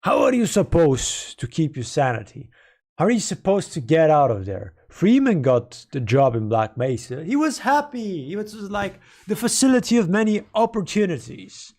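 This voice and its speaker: A man streaming and chatting casually with his viewers in a rambling, playful, mock-dramatic way, his voice hoarse from a sore throat. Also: a little high, sing-song, normal speed, darkish tone. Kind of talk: casual livestream chat with viewers.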